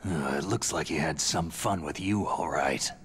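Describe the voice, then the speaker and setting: An actor in a video game playing a tough, terse soldier on a rescue mission, with a gravelly growl and a stern manner. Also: male voice, extremely low pitch, normal speed, a little hiss or hum. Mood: dry, cool. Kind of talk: dramatic video game voice acting.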